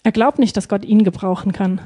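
A woman's voice: mid-range voice, fairly even intonation, a little fast, mellow, husky. A woman interpreting a sermon live, phrase by phrase, hesitating and feeling for words with frequent ums.

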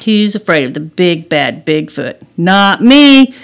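A woman's voice: fairly low pitch, sing-song, takes it slowly, gravelly, heavily acted. Woman playing a bratty, taunting little boy. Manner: taunting, sing-song. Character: bratty, teasing little boy who torments his sister.

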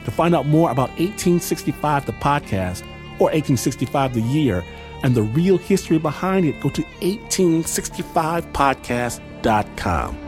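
A man's voice: on the high side, animated, moderate pace, darkish tone. Theatrical male radio host over prominent music. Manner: theatrical, emphatic, stretches words for effect. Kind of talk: playful scripted radio outro narration.

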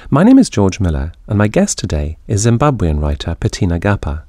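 Male host, lowish voice, thoughtful questions, repeats words when he stumbles. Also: huge pitch swings, a little fast, dark tone. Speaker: interviewer host. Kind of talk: scripted intro then conversational interview.

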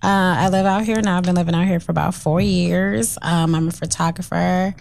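A woman from Chicago, a photographer guest, keeping her voice low and measured. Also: steady tone, vocal fry, darkish tone, a little hiss or hum. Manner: deliberately low, measured delivery.

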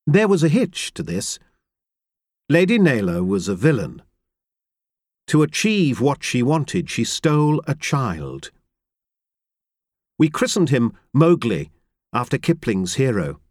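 A man reading a novel aloud in first person, with measured, reflective and grave storytelling from a close studio mic. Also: medium pitch, a little mellow, dry recording. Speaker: audiobook narrator. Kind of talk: first-person literary narration.

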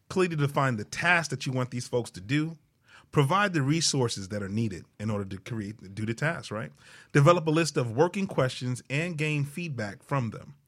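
A playful man co-hosting a talk show, giving tips and banter in a casual style. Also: medium pitch. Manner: playful, teasing.

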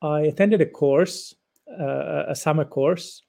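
A man around fifty from Finland, whose first language is Swedish, answers interview questions in a thoughtful, halting way with frequent restarts. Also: on the high side, vocal fry, mellow. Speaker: guest lecturer.

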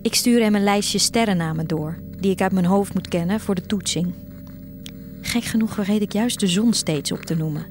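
A woman's voice: mid-range voice, moderate pace, natural voice. Actress as teenage girl, first-person audio drama narration, yearning, prominent music underneath. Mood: reflective, intimate.

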